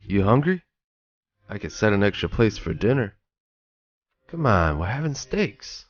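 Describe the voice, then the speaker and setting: A blunt, fatherly retired detective acted by a man from a noir audio drama. He is older and has a low voice that swings widely in pitch. His talk is paternal and full of old-time slang. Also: sing-song, a little slow, dull audio. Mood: jovial, teasing. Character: gruff, fatherly retired male police detective.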